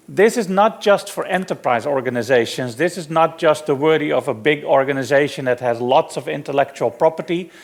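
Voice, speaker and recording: A middle-aged man from the Netherlands with a Dutch accent, giving a relaxed, self-deprecating technical conference talk with frequent fillers. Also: fairly high pitch, vocal fry. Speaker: conference speaker. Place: conference hall.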